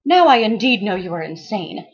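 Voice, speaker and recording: A woman voicing a fiery, defiant madam in a story reading, shrill, commanding and dramatic. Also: very animated, normal speed, breathy edge, muffled recording. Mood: indignant, scornful.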